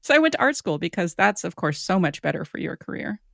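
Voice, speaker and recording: A woman hosts a casual interview in a relaxed, wry way, with a fairly low voice. Her dry humor comes through, and the recording is close and free of room sound. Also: quick pace, creaky, no room sound. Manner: relaxed, wry, laughs often. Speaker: podcast host.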